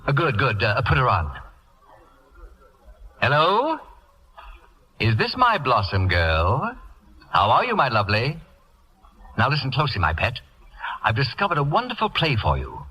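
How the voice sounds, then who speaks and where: A sharp, imperious, acid-tongued celebrity. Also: male voice, mid-range voice, huge pitch swings, a little slow, long pauses, slightly rough, heavily acted, low-quality recording. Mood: coaxing, scheming. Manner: theatrical, biting sarcasm and grandiose insults. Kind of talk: old-time radio comedy acting. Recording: vintage radio broadcast recording.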